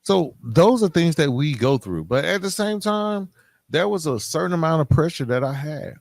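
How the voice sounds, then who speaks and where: A man's voice: a little high, big pitch swings, normal speed, creaky, no echo. A middle-aged man hosting a podcast, talking straight to listeners in a reflective, emphatic monologue, often checking in as he goes. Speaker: podcast host.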